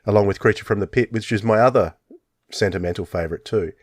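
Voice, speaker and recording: Low-voiced male podcast host, dry close sound. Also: fast, no room sound. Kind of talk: casual enthusiastic fan chat.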